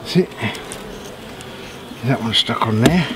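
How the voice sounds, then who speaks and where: A man's voice: medium pitch, expressive, slow pace. A middle-aged man casually explaining hands-on beekeeping work as he does it. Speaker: beekeeper demonstrating his work. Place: outdoors in a wooded apiary. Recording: clear outdoor noise, insects and birds. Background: buzzing bees and birdsong.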